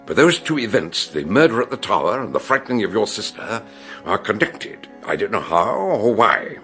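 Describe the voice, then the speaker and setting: An actor playing an eccentric, learned older British detective in a radio drama, ruminative and exclamatory with old-fashioned turns of phrase. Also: male voice, on the low side, sing-song, normal speed, a bit bright, soft background music. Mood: grave, musing. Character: eccentric older learned male detective doctor, erudite and theatrical.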